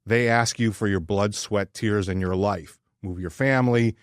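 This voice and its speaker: A man co-hosting a podcast, giving his opinions in casual sports talk with an earnest, emphatic delivery that leans on pauses and repetition. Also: fairly low pitch, smooth, dry recording, clean studio sound. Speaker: podcast co-host.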